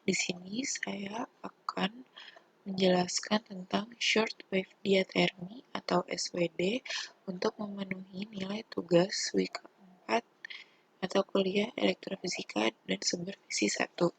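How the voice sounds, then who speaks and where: A woman's voice: medium pitch, fairly even intonation, measured pace, vocal fry, slightly rough, poor audio. A young woman with an Indonesian accent giving a formal, methodical student presentation. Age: young adult. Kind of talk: student presentation explaining a topic.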